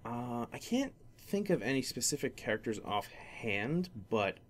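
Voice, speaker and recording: A man's voice: mid-range voice, big pitch swings, unhurried. A young man hosting a solo gaming podcast, rambling casually with many fillers and restarts. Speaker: podcast host.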